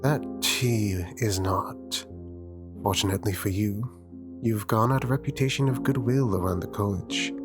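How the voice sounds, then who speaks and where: A man's voice: fairly low pitch, normal speed, natural voice. A man playing a stern, condescending master of magic at a college, speaking formally and imperiously in a fantasy audio roleplay. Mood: formal, composed. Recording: music playing behind the voice. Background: instrumental music.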